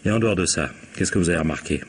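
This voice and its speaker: Deep-voiced, wry police inspector. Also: male voice, animated, racing, breathy edge. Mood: wry, amused. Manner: dryly ironic, probing, indulgent. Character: wry, patient male police inspector questioning a witness. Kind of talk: French radio mystery drama acting.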